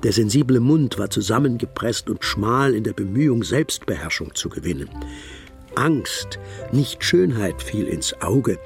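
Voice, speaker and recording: An actor playing a devoted Victorian gentleman who narrates a German radio drama in an expressive, literary style. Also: fairly low pitch, unhurried, vocal fry, soft background music. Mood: admiring, reflective.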